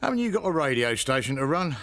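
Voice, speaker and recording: A man's voice: a little high, very animated, fast, noisy background. A man playing a proud, stubborn, middle-aged pub landlord and dad in a radio soap. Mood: stubborn bravado.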